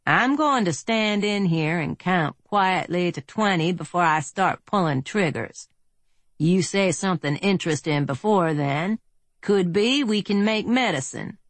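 A woman voicing a soft-spoken, confident Old West lawman who talks in a slow, folksy drawl. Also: fairly low pitch, animated, no room sound, pitched above the performer's own voice. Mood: dry, wry.

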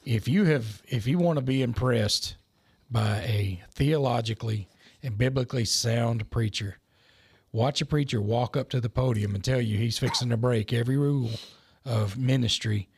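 A man's voice: mid-range voice, moderate pace, darkish tone, slightly rough, dry recording. A man co-hosting a church podcast, tired and wry, with dry jokes. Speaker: podcast co-host. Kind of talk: casual church podcast chat.

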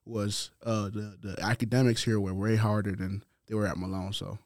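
Soft-spoken young man from Haiti. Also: on the low side, little pitch movement, moderate pace, no room sound, clean studio sound. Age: 20s. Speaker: college football player, guest. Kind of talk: casual interview answers.

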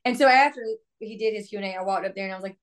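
Hoarse, chatty young woman singer. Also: mid-range voice, racing. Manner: chatty, upbeat, laughs often. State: hoarse from laryngitis. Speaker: country singer and guest. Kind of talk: casual podcast interview chat.

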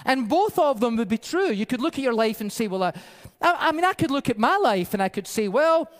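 A man's voice: very high-pitched, big pitch swings, fairly quick. A male pastor preaching a sermon in a conversational, storytelling way with a varied pace. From Peru-born, raised in the UK by Scottish parents. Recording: reverberant hall.

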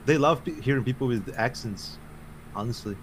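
A man's voice: medium pitch, normal speed, a little hiss or hum, rough recording. A man from Russia with a Russian accent, a streamer guest chatting bluntly and swearing freely. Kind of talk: casual chat.

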